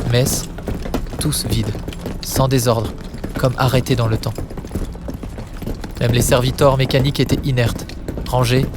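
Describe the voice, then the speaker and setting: A man's voice: mid-range voice, noisy background. A man narrating dramatic science-fiction audio fiction in a solemn, suspenseful manner, in short weighted phrases. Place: studio. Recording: studio narration over music bed. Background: music.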